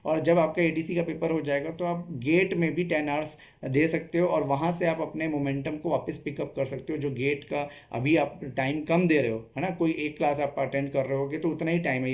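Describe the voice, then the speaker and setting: A man with a voice pitched a little higher than most men. He talks like a coach laying out a study strategy, explaining each step and punctuating it with short check-in tags. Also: a little mellow. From Chhattisgarh, India. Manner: explanatory, often checks in with short tag questions. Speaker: exam coach. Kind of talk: instructional exam-strategy talk.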